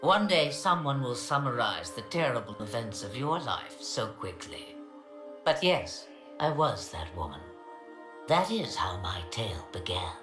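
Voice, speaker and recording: A woman's voice: rumbling low, sing-song, a little slow, full character voice. An older woman playing an ancient, commanding witch in a video game, theatrical, with grand and archaic phrasing. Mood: wry, knowing. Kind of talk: dramatic video game acting. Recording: roomy reverb with music behind.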